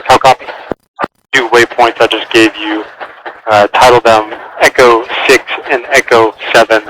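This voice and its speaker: A man's voice: mid-range voice, level tone, measured pace. Guy doing military controller radio calls, short clipped procedural phrases, clicky static all over it. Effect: radio transmission. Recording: noisy military air-band radio.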